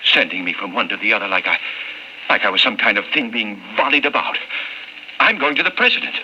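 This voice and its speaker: A man's voice: lowish voice, animated, a little fast, bright, forceful tone, highs cut off. A man acting a meek, aging, lonely clerk in an old-time radio drama, hesitant, stammering and pleading. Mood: agitated. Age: older. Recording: hissy old radio recording.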